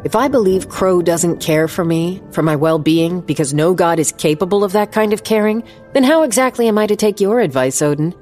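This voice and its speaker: A woman's voice: lowish voice, expressive, brisk, hardly any pauses, a little mellow, soft background music, lightly acted. A woman playing a determined, wry small-town police chief who narrates a dramatized audiobook in a firm, dry way. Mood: firm, challenging. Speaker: narrator and lead character.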